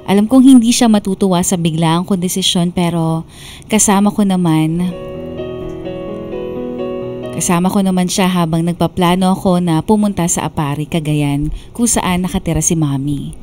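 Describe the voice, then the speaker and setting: A woman playing a burned-out young woman who tells her life story in measured, confiding radio drama narration. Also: on the low side, warm tone, close to an everyday voice. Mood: resolute, reflective. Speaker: narrator reading a letter sender's story. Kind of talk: scripted first-person radio drama narration. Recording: background music under the narration.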